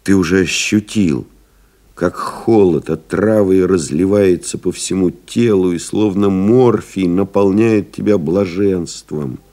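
An actor playing a pilot's devoted friend, solemnly retelling the ordeal in measured, rhetorical literary radio play narration. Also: male voice, deep voice, dark tone. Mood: hypnotic, intense. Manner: grave, measured, rhetorical, building in intensity.

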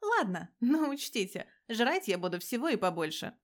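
A woman's voice: a little high, sing-song, moderate pace. A woman voicing a brash, foul-mouthed, suspicious petite policewoman in an audio story, blunt and slangy. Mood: wry, reluctant.

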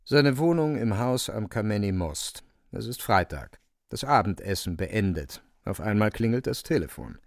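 Deep-ish guy narrating a radio play, theatrical and emphatic, really dry close studio sound. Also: animated, normal speed, creaky, dry recording.

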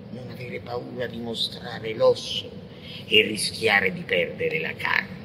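A frenzied visionary poet in a theatrical radio monologue. The delivery is very slow and forceful, lurching from hushed whispers to shouted declamation, blasphemous and intense. There is faint noise behind the voice. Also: male voice, lowish voice, heavily acted, poor audio. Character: frenzied, blasphemous visionary poet ranting against God and man. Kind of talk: intense theatrical monologue, avant-garde poetic radio drama.

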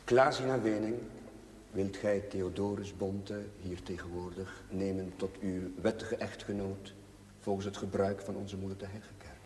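A man's voice: low-pitched, fairly even intonation, moderate pace, darkish tone, a little hiss or hum, lightly acted. Solemn priest played by a man, cavernous echo. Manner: solemn, formal, ceremonial. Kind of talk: solemn wedding liturgy, partly chanted in Latin. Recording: echoey church reverb, distant mic.

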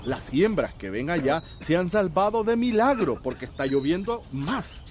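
An actor playing a resigned but good-humoured farmer in a radio drama, with a folksy way of talking. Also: male voice, fairly high pitch, very animated, normal speed, crowd noise.

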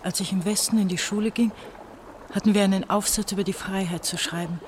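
An actress playing a bitter, feverish eighteen-year-old East German girl in a German radio play, brooding and halting in her delivery. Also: medium pitch, fairly even intonation, a little hiss or hum, close to an everyday voice. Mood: fearful, brooding.

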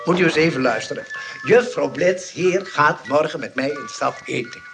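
An actor playing a courteous, hesitant gentleman suitor in a Dutch radio play, formal and halting yet pushy in his politeness. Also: a little high, animated, music in the background. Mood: eager, brisk.

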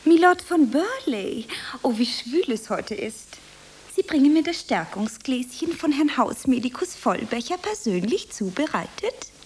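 A woman playing a nervous, earnest young actress starting out in a radio drama, speaking in a hesitant, breathy way. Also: high-pitched, sing-song, measured pace, a little mellow, slight background noise. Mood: dreamy, theatrical. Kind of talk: German radio play acting.

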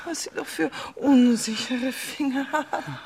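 An actress playing a seductive, commanding queen in a radio play, coaxing and teasing with breathy asides. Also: sits high, measured pace, noisy background. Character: seductive, capricious, commanding queen, flirting with a visitor. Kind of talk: German radio play acting.

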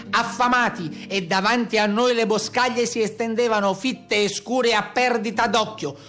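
A woman playing a member of a police patrol, recounting a hunt for brigands in a measured, orderly radio-play monologue. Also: mid-range voice, fairly bright tone, lightly acted.

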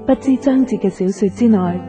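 Hong kong lady announcing a radio drama, poetic scripted read, clear guitar backing. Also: medium pitch, normal speed. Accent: Hong Kong Cantonese. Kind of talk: scripted lyrical introduction. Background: guitar music.

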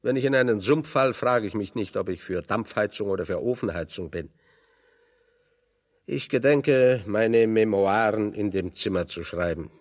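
Actor as a low-voiced ironic exiled intellectual. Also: male voice, moderate pace, close to an everyday voice. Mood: wry, musing. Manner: ironic, discursive, pointed. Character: wry, pessimistic intellectual man in exile, fond of lecturing. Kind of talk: German radio drama dialogue.

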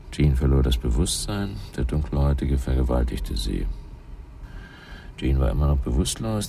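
Very deep, gravelly hard-boiled detective. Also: male voice, dark tone, a little hiss or hum, exaggerated voice. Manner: blunt, clipped, matter-of-fact. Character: hard-boiled older male homicide detective, blunt and grim. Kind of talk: radio drama monologue, crime reconstruction.

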